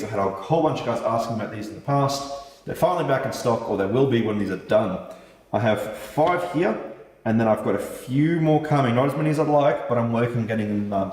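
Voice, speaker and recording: A man's voice: mid-range voice, a little mellow. A man who grew up speaking German first, a knife maker chatting casually to his viewers in a laid-back way with the odd swear. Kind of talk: casual vlog talk to viewers. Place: room with a light set up. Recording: echoey room, mic far off.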